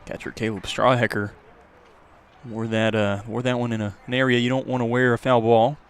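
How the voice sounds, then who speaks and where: A man's voice: medium pitch, flat delivery, normal speed, smooth. A man calling a baseball game live as a radio play-by-play announcer. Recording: outdoor ballpark crowd and ambient noise. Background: ballpark crowd.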